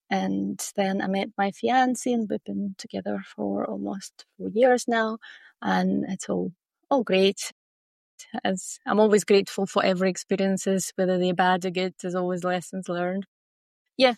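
A woman from Russia with a Glaswegian accent talks casually as an interview guest. Her native language is Russian, and her voice has a noticeable creak. She is chatty, telling personal stories, and the recording is very dry. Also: mid-range voice, no echo. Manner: chatty, laughs often while talking.

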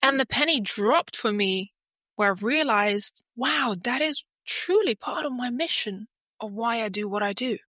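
A woman's voice: fairly high pitch, expressive, unhurried, sharp tone, dry recording, clean studio sound. A woman from Lithuania speaking as a podcast guest, telling her own story in an earnest, emphatic, conversational way. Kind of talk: conversational interview, personal storytelling.

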